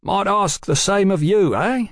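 A man's voice: mid-range voice, big pitch swings, normal speed, no room sound, studio quality, voice pushed up, close to an everyday voice. A man voicing an insolent, self-righteous political heavy in an audiobook, coarse and pompous, leaning on his words. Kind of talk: audiobook character acting.

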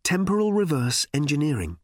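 A blunt, fretful alien traveller, put on by a man reading aloud, always pressing to get moving. His lines have a lively rise and fall, and there is no room sound at all around the voice. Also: a little high, brisk, no room sound, clean studio sound, voice pushed up. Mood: urgent, serious.

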